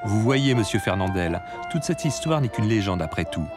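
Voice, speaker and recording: A man reads a documentary voice-over in a measured, scripted way. His voice sits low, and music plays clearly behind him. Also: animated. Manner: addresses the listener directly, measured. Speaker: documentary narrator.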